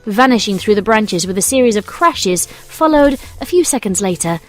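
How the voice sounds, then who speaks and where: A woman's voice: medium pitch, lively intonation, normal speed. A woman narrator reading a scripted story in storybook narration style. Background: soft music.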